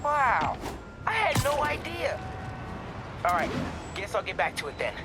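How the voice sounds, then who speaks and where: A bright American teenage boy in a video game. His voice is high and eager, with a sheepish edge. Loud music plays behind it. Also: big pitch swings, a little slow, full character voice. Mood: curious, impressed. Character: eager, bright teenage boy science whiz, superhero trainee. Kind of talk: video game cutscene acting.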